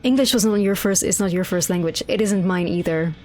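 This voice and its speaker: A woman's voice: mid-range voice, fairly quick, a little mellow, soft background music. Swearing woman streamer. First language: Dutch. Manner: rambling, swearing, exasperated. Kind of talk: casual gameplay chat.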